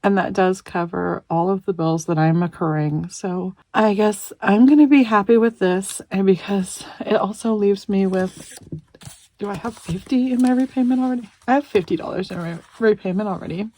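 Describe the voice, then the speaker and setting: A woman's voice: mid-range voice, moderate pace, mellow, slight background noise. A woman in her thirties chatting casually while doing her weekly budget, tired and stuffy, rambling a bit as she works. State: stuffy and exhausted. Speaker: budgeting vlogger.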